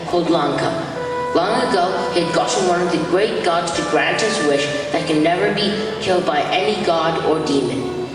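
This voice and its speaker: A woman's voice: lowish voice, moderate pace. A woman narrating a scripted story for a stage performance. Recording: echoey hall, distant, music behind. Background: music.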